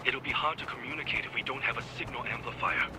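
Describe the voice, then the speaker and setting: A man playing a terse hushed hacker. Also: mid-range voice, fast, background noise, natural voice. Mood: urgent. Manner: curt, clipped, often hushed. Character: terse male hacker ally guiding by radio. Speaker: game character. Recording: game audio with loud music.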